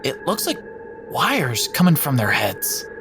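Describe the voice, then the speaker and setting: A man's voice: wildly swooping pitch, a little slow. Dude doing cocky young guy sneaking in, brash, voice bounces all over, music under. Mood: alarmed. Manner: brash, dismissive, jumpy pitch. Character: cocky young man sneaking onto a base.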